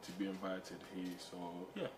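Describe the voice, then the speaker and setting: Low-voiced Congolese man, hesitant. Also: normal speed. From Kinshasa, Congo. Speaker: interview guest, entrepreneur. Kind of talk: conversational personal storytelling. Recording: background noise, room ambience.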